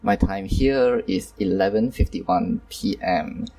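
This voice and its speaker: A man's voice: sits low, takes it slowly, dark tone, recorded from a distance, poor audio. A man hosting a casual online group chat, talking hesitantly with many fillers and apologies. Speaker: host.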